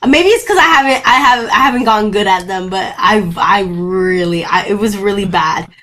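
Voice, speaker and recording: A young woman from Brazil, a native Portuguese speaker, chatting as an actor guest in an interview, lively, laughing often and restarting her sentences. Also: mid-range voice, moderate pace. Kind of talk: casual interview chat.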